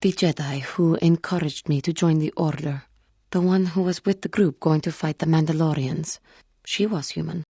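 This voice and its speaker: A woman playing a wounded, earnest female alien Jedi in a video game, speaking in formal, measured phrases. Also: deep voice, fairly even intonation, warm tone, husky, dry recording, studio quality. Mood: earnest, hopeful. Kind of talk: scripted video game character dialogue.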